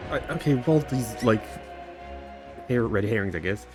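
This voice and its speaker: A man's voice: fairly low pitch, lively intonation, normal speed. A man giving casual commentary as he streams a game playthrough. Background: game music.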